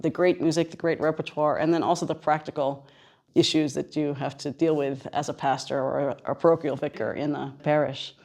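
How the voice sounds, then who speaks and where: Female radio host, low-pitched voice, conversational interviewer on location. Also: fairly even intonation, a little fast, vocal fry, a little mellow. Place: on location at a seminary.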